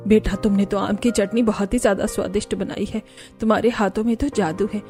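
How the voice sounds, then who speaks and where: A woman's voice: medium pitch, steady tone, fairly quick, mellow, faint music behind, pitched below the performer's own voice, lightly acted. A woman voicing a caring, middle-aged mother-in-law in a Hindi family cartoon. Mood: fond, praising.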